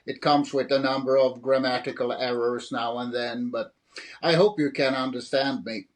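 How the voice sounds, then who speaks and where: A middle-aged man from Sweden speaks in a Swedish accent, answering as an author guest. His pitch sits in an ordinary middle range, and he talks hesitantly, pausing on fillers and restarting his sentences. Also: steady tone. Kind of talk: interview answers.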